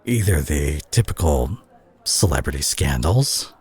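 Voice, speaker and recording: A man acting a concerned superhero who confronts a reckless friend in a dramatic audio roleplay, speaking earnestly and haltingly with a husky, breathy voice. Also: very deep voice, animated, darkish tone, full character voice. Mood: somber, pained.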